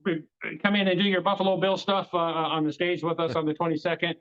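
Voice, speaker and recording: A man's voice: fairly high pitch, level tone, brisk, fairly bright tone, clear, resonant tone. Chatty, rambling man on a muffled line. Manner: chatty, rambling, frequent restarts. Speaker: guest, game show creator. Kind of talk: casual interview chat.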